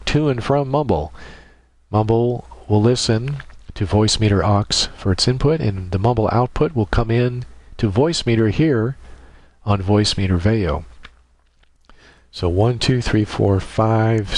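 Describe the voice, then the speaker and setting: A man with a fairly low voice walks through a software setup step by step. He explains methodically and informally, thinking aloud as he goes. The sound is dry and close to the microphone. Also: no room sound. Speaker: software tutorial presenter. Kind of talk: informal step-by-step screen tutorial narration.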